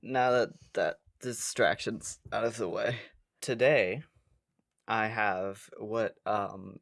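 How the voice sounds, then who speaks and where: A man's voice: fairly low pitch, steady tone, takes it slowly, gravelly, fairly bright tone, no echo, studio quality. Jokey young man, casual podcast co-host. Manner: jokey, self-deprecating. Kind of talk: casual comedic chat, reading quiz questions aloud.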